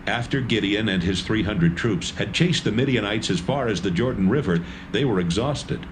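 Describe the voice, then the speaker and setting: A man narrating a dramatised scripture reading with a measured storytelling delivery. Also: fairly low pitch, animated, background noise.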